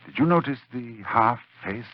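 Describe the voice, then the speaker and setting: An actor playing a grave, learned doctor in a 1940s radio horror drama, solemn and deliberate in a theatrical way. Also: male voice, mid-range voice, expressive, dry recording, natural voice. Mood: grave awe. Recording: muffled 1940s radio recording.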